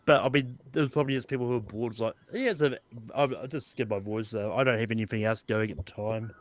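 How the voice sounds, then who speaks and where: A man chatting casually as a panelist, halting and often restarting his phrases. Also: medium pitch. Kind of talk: casual movie chat.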